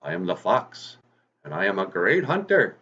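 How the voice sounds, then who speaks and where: A man voicing a proud, boastful male fox hunter who is easily fooled, exclaiming loudly. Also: low voice, huge pitch swings, normal speed, breathy edge, full character voice. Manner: loud, blustering, exclaiming. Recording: dull lo-fi recording.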